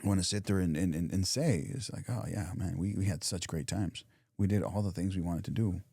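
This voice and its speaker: Deep, mellow man musing on life. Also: a little fast, gravelly, slightly rough, no room sound, studio quality. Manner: reflective, repeats and restarts phrases, softens into quiet imagined thoughts. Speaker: podcast co-host. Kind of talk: casual motivational chat.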